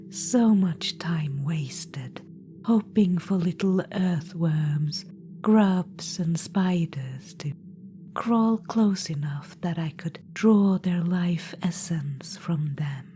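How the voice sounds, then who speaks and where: Scheming undead witch-queen, whispery and slow, low dark tone, patronizing and purring, voiced by a woman. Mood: whispered, scheming. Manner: purring, patronizing, calls listener darling. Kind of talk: ASMR audio roleplay.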